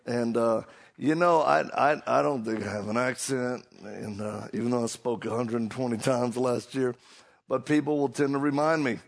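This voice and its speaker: Gravelly Cajun man telling jokes. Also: medium pitch, steady tone, normal speed. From Louisiana. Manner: hyped up, joking, self-deprecating storyteller. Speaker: preacher and motivational speaker. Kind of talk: humorous stage talk to a live audience.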